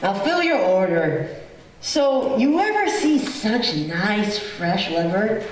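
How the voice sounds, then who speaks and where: A woman's voice: medium pitch, sing-song, takes it slowly. A woman playing a folksy, rambling, good-humoured shopkeeper in an old-time radio play. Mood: teasing, placating. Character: chatty, folksy butcher shopkeeper, a married woman. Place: theatre stage. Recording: echoey theatre, distant mic, faint noise.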